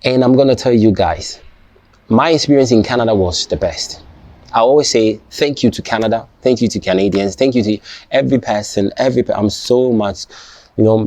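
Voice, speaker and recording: A young man, not a native English speaker, talking casually to his viewers, halting and restarting often and checking "you understand?". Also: fairly low pitch, mellow, dry recording. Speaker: vlogger. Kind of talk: casual personal talk to camera.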